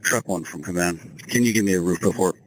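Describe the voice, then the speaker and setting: A man's voice: low voice, brisk, no room sound. A man speaking as an incident commander over two-way radio, giving clipped, businesslike procedural orders. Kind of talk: procedural radio dispatch talk. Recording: noisy fire-ground radio traffic.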